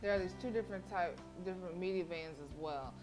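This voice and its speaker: Expressive woman streamer reading over music. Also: lowish voice, fast talker, rough recording. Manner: expressive reading, slangy interjections. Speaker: live streamer. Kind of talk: reading a visual novel aloud with casual asides. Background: game music.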